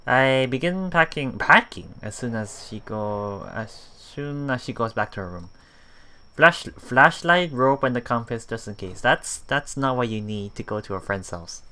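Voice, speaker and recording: A man reading a visual novel aloud for a let's play, halting and repeating words, breaking off for casual commentary. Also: mid-range voice, expressive, pushed and bright.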